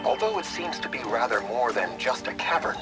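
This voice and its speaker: A wise male scholar played by a man explains in a measured, professorial way. His medium-pitched voice moves expressively, as in a game cutscene, with clear music behind. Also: sing-song, close to an everyday voice, low-quality recording. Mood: instructive. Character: wise, scholarly male guide, calmly fascinated. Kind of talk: animated video game cutscene acting.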